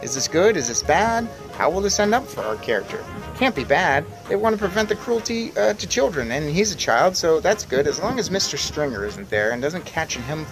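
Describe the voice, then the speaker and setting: A man's voice: on the high side, big pitch swings, moderate pace, hardly any pauses, music in the background, low-quality recording. A man reading aloud and chatting enthusiastically as host of a children's read-aloud. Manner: chatty and enthusiastic, putting questions to young listeners. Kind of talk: storybook read-aloud with casual commentary.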